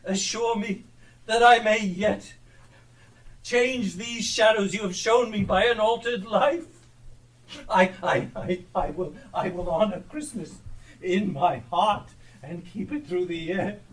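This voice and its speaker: An actor playing a frightened, repentant old miser on stage, with a halting, pleading delivery. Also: male voice, high-pitched. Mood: anguished pleading. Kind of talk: theatrical live stage acting. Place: theatre stage. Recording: distant live stage recording.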